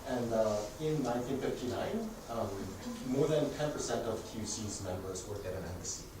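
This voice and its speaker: A man's voice: mid-range voice, expressive, brisk. A man leading an adult church history class, speaking casually with many fillers and self-corrections; his first language is not English. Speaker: adult education class leader. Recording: echoey room from far off, noisy.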